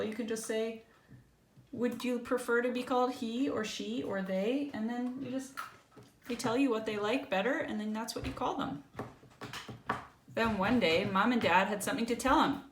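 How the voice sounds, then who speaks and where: Woman reading aloud, creaky voice, roomy echo. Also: fairly high pitch, normal speed. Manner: explanatory, checks in with tag questions. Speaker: storyteller reading a picture book to a child. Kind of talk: read-aloud storytelling with casual asides to a child. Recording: roomy echo, faint background noise.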